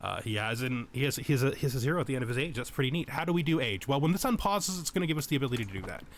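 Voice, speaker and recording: A man's voice: medium pitch, expressive, racing, a little hiss or hum. A man giving casual tutorial commentary on a game as its host, explaining things and thinking aloud as he goes, often correcting himself. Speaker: let's play host.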